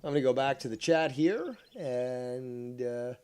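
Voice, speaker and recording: A man's voice: mid-range voice, lively intonation, unhurried, a little mellow, clear, resonant tone. A man livestreaming a game with rambling live commentary, theatrical and mock-villainous, crude and full of swearing. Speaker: livestreamer playing a fishing game.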